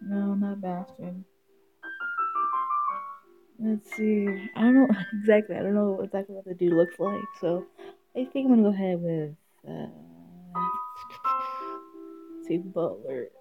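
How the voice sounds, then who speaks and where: Female game streamer, unhurried and hesitant, casual chat, muffled lo-fi sound over music. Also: medium pitch. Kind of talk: casual live-stream chat while setting up and playing a visual novel. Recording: dull lo-fi mic with music behind.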